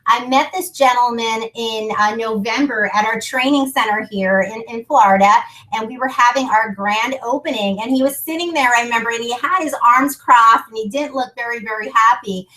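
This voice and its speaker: Woman, fairly high voice, bright forward tone, enthusiastic product presenter, informal conference call delivery. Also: moderate pace, few pauses, poor audio.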